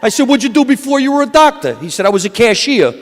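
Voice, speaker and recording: A stand-up comedian with a New Jersey accent, delivering a deadpan wisecracking set onstage. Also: male voice, very high voice, fast talker. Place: comedy awards stage. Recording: long hall reverb from a stage.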